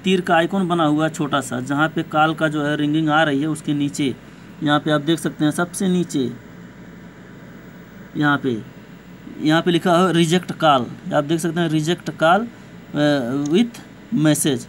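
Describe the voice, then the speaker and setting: A man's voice: fairly high pitch, steady tone, unhurried. A man hosting an informal step-by-step phone tutorial, speaking to viewers as friends in a repetitive, somewhat hesitant way. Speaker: tech tutorial host. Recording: clear background noise, amateur recording.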